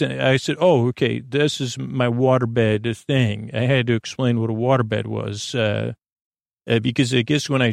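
A middle-aged man with a creaky voice hosts a sleep podcast, meandering haltingly and often interrupting himself with false starts. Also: medium pitch, dry recording, studio quality. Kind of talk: rambling, meandering bedtime monologue.

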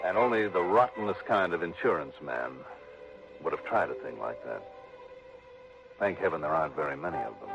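A man's voice: rumbling low, animated, fairly quick, vocal fry, pauses often, over music, exaggerated voice. An actor playing a tough, sardonic male insurance investigator in a 1960s radio drama, with a clipped, wry, pressing delivery. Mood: accusing. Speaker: lead actor. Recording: muffled old radio broadcast.

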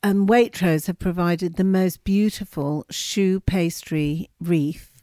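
A woman's voice: on the low side, slow pace, mellow, no echo, clean studio sound. A middle-aged woman co-hosting with her daughter, gushing and enthusiastic in casual chat. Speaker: co-host, mother of the host. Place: home kitchen.

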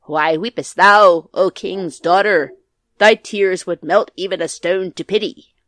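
A woman's voice: sits low, expressive, normal speed, fairly bright tone, no room sound. A woman voicing an enchanted male frog from a storybook, speaking in a formal, archaic, insistent and declamatory way. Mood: solemn. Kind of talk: storybook character voice.

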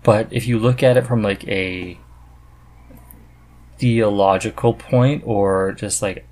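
A man's voice: fairly low pitch, fairly even intonation, takes it slowly, a little mellow. A man hosting a casual podcast conversation, speaking in an earnest, enthusiastic way. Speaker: podcast host.

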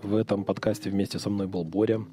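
Hoarse, mellow male podcast host with a cold. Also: lowish voice, level tone, fast. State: sick with a cold, weakened hoarse voice. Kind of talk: scripted episode introduction.

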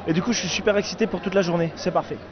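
A man with a high voice fires off crude jokes at a quick clip. He is outdoors at a wine festival, and the sound is dull and surrounded by a chattering crowd. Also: fairly even intonation. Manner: joking, fast, crude.

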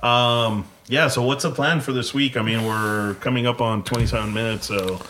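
A man's voice: mid-range voice, fairly even intonation, normal speed, pushed and bright, slight background noise. A man in his early thirties co-hosting a casual podcast, laid-back and swearing offhandedly. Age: early 30s. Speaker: podcast co-host.

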